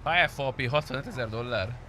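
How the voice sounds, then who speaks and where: A man doing casual, slangy and sometimes crude live gameplay commentary as a streamer. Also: mid-range voice. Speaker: game streamer. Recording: clear background engine and game noise.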